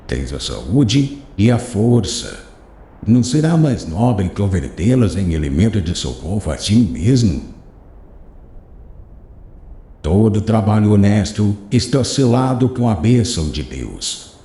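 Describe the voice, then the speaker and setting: A venerable old teacher in a spoken audiobook, deep-voiced and warm-toned. His counsel rises and falls with lively pitch, slow and solemn. The room around him rings with echo. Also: heavily acted. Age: older. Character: wise, gentle elderly male master. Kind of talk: audiobook dialogue reading.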